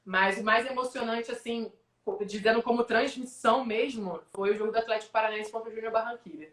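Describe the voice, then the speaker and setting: A young adult Brazilian woman, a sports announcer, telling animated stories in a casual live-stream interview. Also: a little high, echoey room. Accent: Brazilian Portuguese. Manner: animated storyteller, quick asides. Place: home, online video call.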